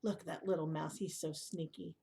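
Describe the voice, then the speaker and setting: A woman reading a picture book aloud to young children in an expressive way, with asides and questions to the listeners. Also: low-pitched, fairly quick, creaky.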